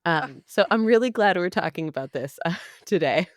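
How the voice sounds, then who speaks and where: A woman's voice: fairly low pitch, lively intonation, moderate pace, no echo, studio quality. A young adult woman co-hosting a casual podcast, playful and sarcastic, laughing often. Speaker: podcast co-host, speech-language pathologist. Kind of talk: casual chat.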